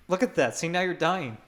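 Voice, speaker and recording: A man's voice: high-pitched, noisy background. A man co-hosting a podcast, teasing and nitpicky in casual banter. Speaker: podcast co-host.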